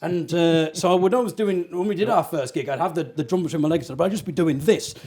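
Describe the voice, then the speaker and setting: A man's voice: a little high, fast talker, darkish tone. A man, hosting a jokey online show and telling stories about his band while laughing at himself. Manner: self-mocking, laughing often. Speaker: host, folk singer. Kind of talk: jokey livestream chat.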